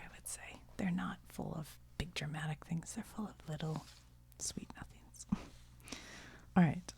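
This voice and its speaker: A middle-aged woman who chats gently in a soft ASMR whisper, often trailing off. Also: low voice, gravelly. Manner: gentle, chatty, laughs softly, trails off. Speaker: video creator.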